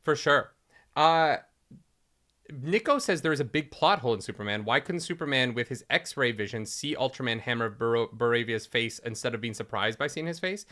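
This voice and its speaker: A man co-hosts a relaxed spoiler chat from a home setup. His voice is bright and forceful, and he talks in an animated, joking way. He reads viewer questions aloud and riffs on them. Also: mid-range voice, normal speed. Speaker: podcast co-host.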